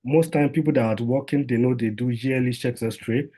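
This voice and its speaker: A man's voice: medium pitch, fairly even intonation, normal speed, mellow. A man, a doctor, giving an informal health talk in a conversational way, with lots of fillers and restarts.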